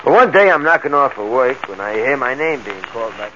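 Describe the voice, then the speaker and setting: A man's voice: medium pitch, animated, a little fast, sharp tone. Muffled, hissy old radio sound carries a New ex-convict in his thirties. He is a streetwise, wary man who talks tough and slangy, in 1940s radio crime drama style. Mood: confiding, boastful. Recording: muffled old radio recording, background hiss.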